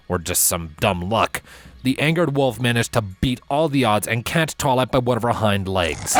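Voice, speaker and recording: A man reading a fantasy story as narrator, in an expressive, measured way with emphatic drawn-out phrases. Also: on the low side. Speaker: fan fiction narrator. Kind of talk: scripted dramatic story narration. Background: background music under the voice.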